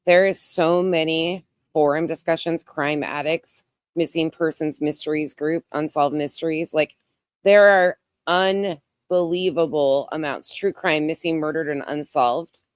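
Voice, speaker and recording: Give a low-pitched woman's voice recorded close and dry. She speaks as an online creator doing off-the-cuff live commentary, repeating phrases for emphasis and narrating her own steps as she goes. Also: measured pace, clean tone, no room sound. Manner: narrates own actions while browsing. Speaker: YouTube creator, host. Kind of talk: casual live commentary, screen share.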